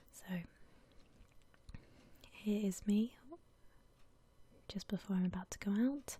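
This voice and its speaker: Woman, ASMR whisper, extremely breathy, mellow, gentle, unhurried, casual chat. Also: on the low side. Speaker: ASMR video creator.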